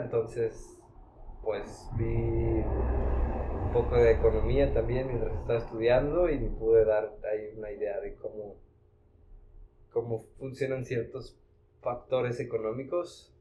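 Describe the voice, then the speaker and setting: A man's voice: mid-range voice, mellow, lo-fi sound, slight background noise. A Mexican man in his late twenties with a Mexican accent, talking casually and thoughtfully as a podcast guest, full of slang. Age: late 20s. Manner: slang-laden, unhurried, thoughtful. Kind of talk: casual conversation.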